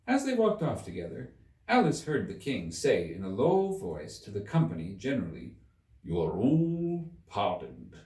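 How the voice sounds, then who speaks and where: A man giving an expressive scripted narration of a story as its reader. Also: fairly low pitch, normal speed. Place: room inside a museum. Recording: echoey room, distant mic.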